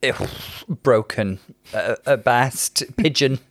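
A man's voice: medium pitch, slow, dry recording, clean studio sound. A man co-hosting a podcast, chatting casually and playfully with laughing asides. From Wales. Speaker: podcast co-host. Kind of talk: casual chat.

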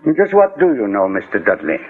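A man playing a calm, courteous detective with measured, formal questioning in 1940s radio drama style. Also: on the low side, phone-quality sound. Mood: probing, courteous. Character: calm, authoritative older male detective, methodical and courteous. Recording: band-limited old 1940s radio recording.

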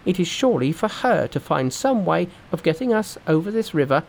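A man voicing a cocky, quick-witted monkey hero in a storybook reading, assured and decisive. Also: fairly high pitch, big pitch swings, gravelly, slight background noise, pitched above the performer's own voice, rough recording. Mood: confident. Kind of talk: storybook narration with character voices.

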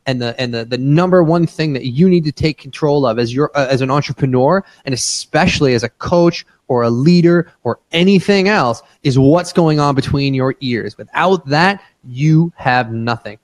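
A man hosting a business podcast, talking in an energetic, emphatic way and often repeating words for stress. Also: mid-range voice, moderate pace, few pauses, no room sound. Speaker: podcast host.

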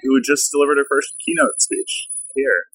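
A man with a bright, slightly husky voice hosts a podcast interview. His delivery is loose and chatty, full of "like" fillers, and the sound is dry and close. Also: medium pitch, moderate pace, dry recording, poor audio. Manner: relaxed, many fillers like "like". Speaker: podcast host. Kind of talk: casual interview chat. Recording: outdoor field recording.

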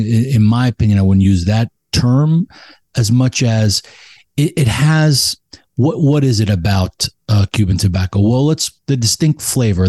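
A man's voice: on the low side, mellow, no room sound. A man speaking as an expert guest in a casual chat, emphatic and opinionated about his craft. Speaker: expert podcast guest, cigar blender.

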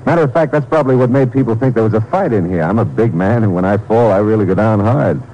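Low mellow voice, lively swings, man doing a shifty smooth-talking suspect, faint hiss. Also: quick pace, natural voice, poor audio. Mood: evasive. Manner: evasive, smooth-talking, fake-casual. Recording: old radio drama recording with faint noise.

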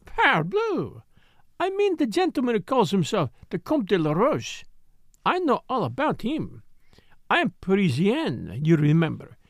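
A man's voice: high voice, huge pitch swings, a little slow, dry recording, clean studio sound, voice pushed up, full character voice. A man reading a storybook, voicing a scheming, teasing Parisian woman dancer with a French accent and a purring, insinuating manner. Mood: mocking.

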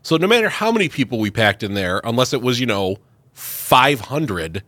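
A man's voice: mid-range voice, sing-song, normal speed, pushed and bright, dry recording. A man co-hosting a podcast in casual chat, dryly correcting and teasing his partner. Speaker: podcast co-host.

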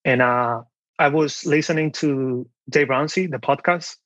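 A man's voice: mid-range voice, unhurried, fairly bright tone, no echo. A young man from the Dominican Republic with a Dominican Spanish accent, telling his story casually as an interview guest. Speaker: podcast guest, online seller.

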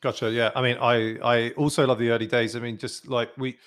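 A man's voice: medium pitch, flat delivery, fairly quick, a bit bright, clean tone. A man hosting a podcast interview, chatty and rambling with many fillers. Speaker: podcast host. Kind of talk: casual interview chat.

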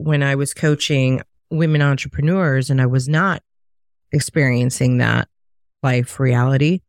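A woman's voice: sits low, darkish tone, no room sound, clean studio sound. A middle-aged woman co-hosting a podcast, talking candidly in a casual chat and telling stories from her own work. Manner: candid, storytelling. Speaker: podcast co-host.

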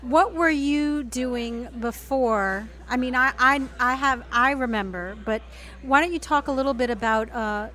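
Female interview host, slightly high voice, casual and informal, halting conversational delivery. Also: bright, forceful tone.

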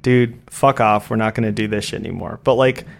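A man's voice: lowish voice, brisk, creaky, a little hiss or hum. A man co-hosting a podcast, talking bluntly in casual chat and swearing freely as he airs strong opinions. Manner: blunt, profane, heated opinions. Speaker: podcast co-host.

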